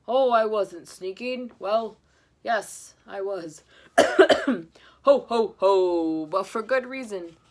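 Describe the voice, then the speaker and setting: A woman voicing a jolly old Santa for a storybook, with loud, hearty, drawn-out delivery. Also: mid-range voice. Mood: booming, sheepish.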